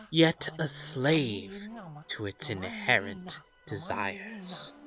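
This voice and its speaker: A let's play host reads a game's story aloud in a measured, dramatic way and adds offhand, rambling commentary. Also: mid-range voice. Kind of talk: reading game story aloud with casual commentary. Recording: music under the voice. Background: game music.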